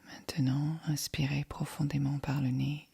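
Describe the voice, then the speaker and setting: A woman guiding a yoga nidra meditation in a soft, breathy, whispery voice, calm and soothing. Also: low voice, slight background noise. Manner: calm, soothing, measured delivery. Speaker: meditation guide.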